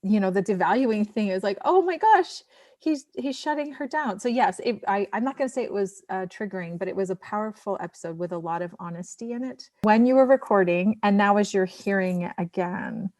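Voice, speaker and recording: A woman with a medium-pitched voice, recorded close and dry, gives her reactions in a casual chat. She is effusive and starts, stops and reworks her sentences as she goes. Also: darkish tone, no room sound. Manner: effusive, halting, self-interrupting. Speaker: voice actor guest.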